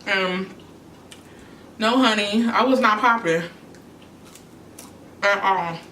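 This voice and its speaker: A woman's voice: mid-range voice, takes it slowly, bright, forceful tone, a little hiss or hum. A young woman from New York chatting casually on her eating show, answering questions between bites. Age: young adult. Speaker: YouTuber. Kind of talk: casual mukbang chat. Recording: echoey room, mic at a distance.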